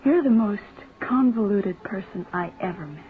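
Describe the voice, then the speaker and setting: A woman's voice: medium pitch, lively intonation, normal speed, over loud music, lightly acted. A woman playing a scornful, spurned young woman in a radio drama, sardonic and blunt. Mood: bitter, scornful. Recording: tinny, band-limited radio recording.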